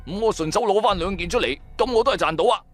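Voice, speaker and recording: A man's voice: high voice, lively intonation, quick pace, faint music behind, voice pushed up. A man voicing a brash, loyal, money-loving young sidekick in a Cantonese audio drama, nagging and exclaiming. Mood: insistent.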